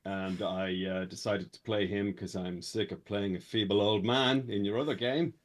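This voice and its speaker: Low-voiced deadpan man. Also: normal speed. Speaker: player. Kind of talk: casual chat.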